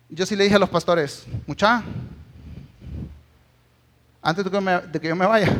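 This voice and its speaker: A man with a Guatemalan accent preaches as a pastor, emphatic and exhortative, often checking in with short tag questions. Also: high-pitched. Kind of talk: sermon.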